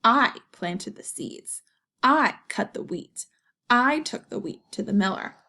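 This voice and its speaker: A woman's voice: a little high, lively intonation, slow, vocal fry, sharp tone, exaggerated voice. A woman voicing a hardworking, indignant storybook hen in a firm, emphatic, sing-song way.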